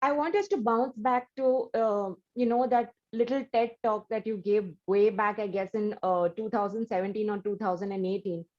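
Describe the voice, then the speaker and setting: A woman's voice: medium pitch, steady tone, moderate pace, hardly any pauses, darkish tone, dull audio. A woman hosting an interview, effusive and given to restarts and fillers. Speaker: interview host.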